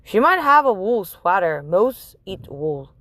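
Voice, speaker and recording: A woman's voice: mid-range voice, sing-song, unhurried, a bit bright, dry recording. A woman voicing an easygoing, plain-spoken young schoolboy in a children's storybook read-aloud. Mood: casual. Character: easygoing young schoolboy chatting with his friends.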